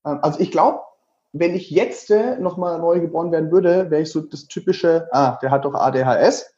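Franconian man, mellow and jokey. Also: on the high side, normal speed. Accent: Franconian German. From Lower Franconia, Germany. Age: 30s. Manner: lively, jokey, rambling with many fillers. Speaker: guest, travel speaker. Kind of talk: casual interview talk. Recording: slightly dull lo-fi sound.

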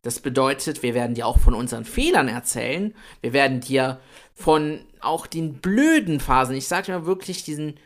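A man in his late twenties co-hosting a podcast, talking in a chatty, energetic way about building an online business. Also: medium pitch, normal speed. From Italy. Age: 20s. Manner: energetic, chatty, swears lightly. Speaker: podcast co-host. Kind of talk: casual podcast chat.

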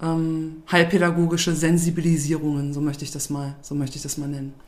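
A woman in her forties speaks in a lowish voice as an interview guest. She talks in a relaxed, chatty way and laughs easily. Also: a little fast. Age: 40s. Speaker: guest, de-escalation trainer. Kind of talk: casual interview chat.

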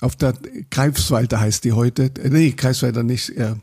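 Older guy, raspy gravelly voice, rambly interview storytelling, keeps restarting. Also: medium pitch, steady tone, normal speed, darkish tone. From Saxony, Germany. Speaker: interviewee, photographer. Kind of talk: recollecting in a conversational interview.

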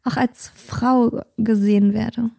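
A woman's voice: a little high, fairly even intonation, slow pace, creaky, warm tone, no echo, clean studio sound. A woman, co-hosting a podcast in casual chat, teasing and laughing often. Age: 30s. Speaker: podcast co-host.

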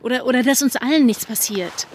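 An actress playing a secretive, uneasy young undercover activist in a naturalistic audio drama, speaking casually and hesitantly. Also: female voice, a little high, fairly quick, slight background noise, natural voice. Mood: nervous, placating. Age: young adult. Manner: hesitant, placating, casual youthful speech. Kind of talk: naturalistic fiction podcast acting.

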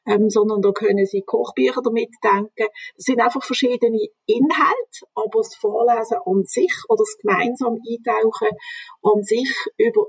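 A woman who calmly explains and gives advice as an expert guest in an interview. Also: fairly high pitch, slightly rough, dry recording, low-quality recording. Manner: calm, explanatory, advising. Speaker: reading and language development expert.

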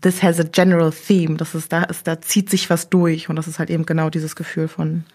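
A young woman answering interview questions thoughtfully, pausing often with fillers as she searches for her words. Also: deep voice, flat delivery, a little mellow. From Germany. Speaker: interview guest. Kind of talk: reflective interview conversation.

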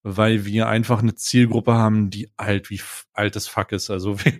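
Laid-back German podcast co-host. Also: male voice, lowish voice, flat delivery. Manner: laid-back, halting with fillers and restarts. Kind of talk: casual chat.

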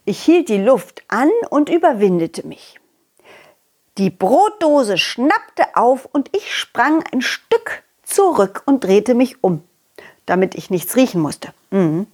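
A woman voicing a confident, loyal, cheerful dog who tells stories to children in an excitable, childlike way, as in an audio play. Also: fairly high pitch, slow, husky, heavily acted. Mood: reluctant, anxious. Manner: excitable, childlike, speaking directly to child listeners. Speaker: puppeteer and storyteller.

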